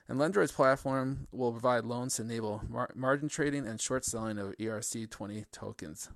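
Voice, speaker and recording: A man's voice: mid-range voice, creaky, no room sound, studio quality. A man hosting a podcast interview, asking friendly questions with many fillers. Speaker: podcast host.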